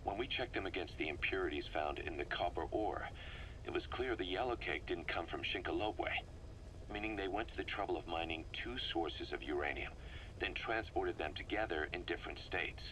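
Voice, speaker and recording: A man playing a grave, analytical military intelligence officer, giving a measured, serious briefing in a video game audio log. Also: sits low, sharp tone, rough recording. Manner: measured, serious, expository delivery. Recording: faint noise, cassette-tape radio sound.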